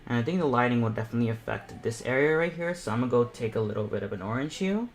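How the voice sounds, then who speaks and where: A young man of eighteen speaks at a middle pitch as he hosts a painting demonstration. His delivery is informal and conversational, like a live tutorial. He tends to ramble and think out loud while he works. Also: expressive, racing, gravelly. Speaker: self-taught artist hosting a painting panel.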